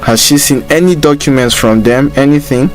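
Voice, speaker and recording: A man's voice: medium pitch, normal speed, natural voice. An actor playing an earnest young Nigerian man in a radio drama, worried about his sister and speaking with emphatic repetition. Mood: uneasy. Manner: earnest, emphatic, repeats himself.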